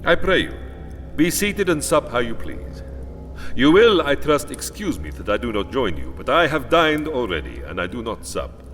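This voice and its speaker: Elderly vampire nobleman, foreign accent, animated intonation, formal and commanding, gothic audio drama, music behind. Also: medium pitch. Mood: gracious, formal. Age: older. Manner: courtly, formal, deliberate. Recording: long hall reverb, music behind.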